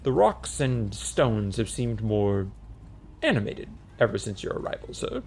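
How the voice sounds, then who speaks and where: A man's voice: on the low side, big pitch swings, measured pace, vocal fry, a little mellow, a little hiss or hum, exaggerated voice. A man playing a nervous, placating fellow in an audiobook, hesitant and trying to sound unassuming. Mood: nervously placating.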